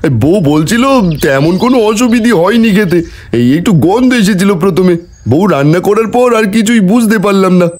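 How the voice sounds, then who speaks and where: A man voicing a high-pitched, thrifty village customer. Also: animated, fairly quick, darkish tone. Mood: chatty. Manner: haggling, conversational. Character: thrifty, trusting village man buying fish. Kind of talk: cartoon voice acting.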